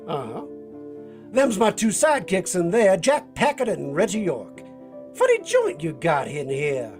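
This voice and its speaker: Casting: cocky drawler, folksy radio-play troublemaker, very animated delivery, some music behind. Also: male voice, high-pitched, measured pace, heavily acted. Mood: folksy, sly. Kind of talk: 1940s radio acting.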